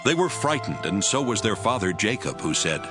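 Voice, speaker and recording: Low-pitched male narrator over music. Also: sing-song, moderate pace. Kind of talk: scripted dramatic Bible narration.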